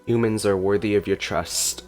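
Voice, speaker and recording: A man's voice: on the low side, steady tone, normal speed, a little mellow, faint music behind. A man giving casual, off-the-cuff reactions as he plays through a game. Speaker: gamer reacting to a playthrough.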